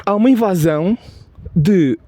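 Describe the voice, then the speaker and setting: A man with a European Portuguese accent giving an unscripted opinion monologue, halting and repetitive with many restarts and emphatic stress. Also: sits high, expressive, warm tone, no echo. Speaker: video commentator.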